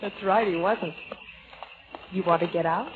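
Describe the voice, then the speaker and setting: A woman's voice: fairly low pitch, a little slow, noisy background, lightly acted. Woman as a romantic 1940s radio heroine. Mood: flirtatious. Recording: dull vintage radio broadcast.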